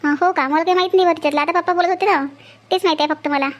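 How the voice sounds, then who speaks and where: Very high-pitched young sister-in-law, acted by a woman. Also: steady tone, rapid-fire. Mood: reassuring. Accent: Vidarbha Marathi. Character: gentle young sister-in-law, teasing but easily wronged. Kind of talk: amateur cartoon family drama.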